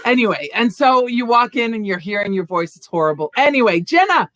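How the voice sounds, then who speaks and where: A woman's voice: a little high, lively intonation, brisk, a bit bright, dry recording. A woman co-hosting an interview over a video call, playful and telling stories. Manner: playful, storytelling. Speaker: podcast co-host. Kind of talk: casual interview chat.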